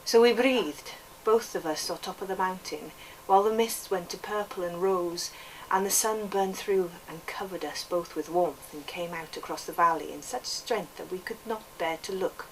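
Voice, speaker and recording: A woman's voice: lowish voice, moderate pace, close to an everyday voice. Earnest welsh kid telling his story woman doing it, lilting and lyrical, bit far from the mic, faint noise. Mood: earnest, wistful. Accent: put-on Welsh. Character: earnest Welsh boy narrating a storybook memoir. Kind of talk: expressive literary reading. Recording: distant microphone, background noise.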